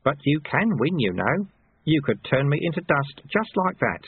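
A man's voice: on the high side, sing-song, normal speed, husky, no echo, phone-quality sound, voice pushed up, full character voice. A man voicing a sly, cheerful old monk and martial-arts master who talks in a cheeky, colloquial, teasing way, in an audiobook. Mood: taunting.

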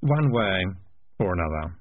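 A man's voice: sing-song, unhurried, dry recording, phone-quality sound. Man doing a smug, menacing, drawling rat piper. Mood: cold threat. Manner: drawling, mocking, low-voiced threats.

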